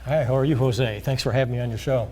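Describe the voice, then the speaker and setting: A man being interviewed as a financial planner, explaining in a measured way with frequent pauses and fillers. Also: mid-range voice, steady tone, a little mellow, low-quality recording. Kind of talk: interview answers. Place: high school TV studio.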